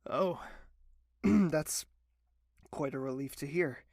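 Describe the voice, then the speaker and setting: A man voicing a stressed, defensive young royal in an audio drama, with a gravelly, slow delivery that keeps stalling and tensing up. The recording is dry and close. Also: fairly high pitch, a little mellow, no echo, heavily acted. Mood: guarded. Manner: halting, tense, guarded. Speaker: voice actor. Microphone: home recording.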